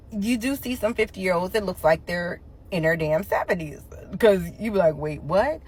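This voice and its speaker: A woman's voice: mid-range voice, a bit bright. A woman of fifty talking casually and emphatically into her phone, defending people who share their age. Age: 50s. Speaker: commentator. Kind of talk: casual opinion rant.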